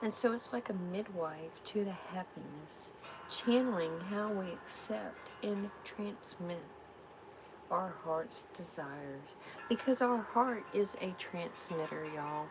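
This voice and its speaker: A woman hosting a live tarot reading, giving spiritual guidance in a soft, soothing way. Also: fairly low pitch, background noise. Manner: soft, unhurried, soothing affirmations.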